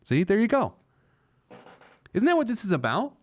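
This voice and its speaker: A man's voice: high-pitched, wildly swooping pitch, moderate pace, no echo. A man hosting a personal finance radio show off the cuff, emphatic and often answering his own rhetorical questions. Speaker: radio host, financial planner.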